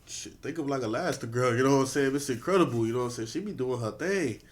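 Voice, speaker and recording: A man's voice: mid-range voice, fast talker. Stuffy-nosed man reacting casually. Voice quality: stuffy nasal. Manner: slangy, laid-back, chatty. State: stuffy, congested from a cold. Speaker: reaction video host.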